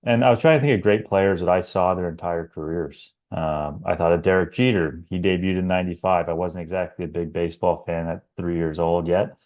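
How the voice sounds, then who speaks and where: A man's voice: sits low, fairly even intonation, a little fast, few pauses, a little mellow. A man in his thirties from Canada, co-hosting a casual baseball podcast and talking loosely with many fillers. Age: 30s. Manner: conversational, rambling with fillers. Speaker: podcast co-host. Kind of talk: casual sports chat.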